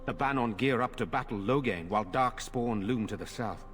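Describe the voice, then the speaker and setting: An actor playing a worried, earnest noble younger brother in a video game. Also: male voice, mid-range voice, moderate pace, fairly bright tone, slight background noise, close to an everyday voice. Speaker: game character.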